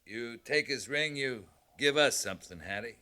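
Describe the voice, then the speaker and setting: A man's voice: medium pitch, lively intonation, normal speed, sharp tone. A man playing a terse, courteous but firm American cavalry captain in a 1950s radio western. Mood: level, bargaining. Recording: vintage 1950s radio recording.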